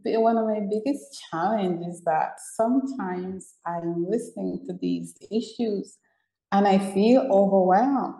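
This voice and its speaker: Earnest Jamaican-born woman, mellow voice. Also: mid-range voice, a little slow, roomy sound, poor audio. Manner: earnest, repeats herself, fillers like "you know". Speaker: guest, women's mentor. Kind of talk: conversational interview.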